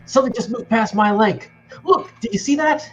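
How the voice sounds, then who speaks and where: Man playing an earnest, high-voiced young space hero. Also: moderate pace, a bit bright. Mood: alarmed. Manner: earnest, urgent. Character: earnest, anxious young farm-boy hero of a space adventure. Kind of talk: comedic table read of a film script. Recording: dull lo-fi audio with music.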